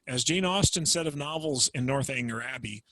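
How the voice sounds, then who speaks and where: A man reading scene summaries and an epilogue in a plain, measured way over a video call. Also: mid-range voice, a bit bright, slight background noise. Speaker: narrator of summaries.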